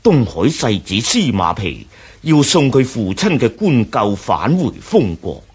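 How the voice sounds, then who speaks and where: A man with a Hong Kong accent acting a deferential, halting court minister in a historical radio drama, with a husky voice. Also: medium pitch, big pitch swings, full character voice. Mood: grave, resigned. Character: hesitant, deferential male court minister taking his leave.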